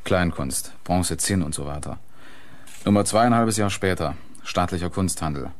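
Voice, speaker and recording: An actor playing a brisk, dry police detective in a German radio crime drama. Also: male voice, low voice, natural voice. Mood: matter-of-fact. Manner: clipped, dry, matter-of-fact.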